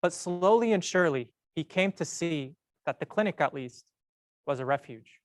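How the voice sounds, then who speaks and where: A young man tells a prepared story in a measured, earnest way, slowing down and pausing to stress key words. His voice sits a little high for a man. The sound is close and dry, with almost no room echo. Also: animated. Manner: measured, earnest delivery with slow emphatic pauses. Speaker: medical student speaker.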